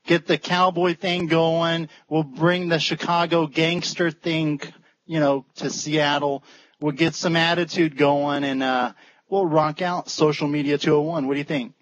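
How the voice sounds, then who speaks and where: A man's voice: a little high, fairly even intonation, unhurried, fairly bright tone, dry recording. A man chatting casually and joking on a late-night video call, sometimes stumbling over his words. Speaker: fellow speaker on a video call. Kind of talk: casual chat. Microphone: webcam on a video call. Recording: dull lo-fi video call audio.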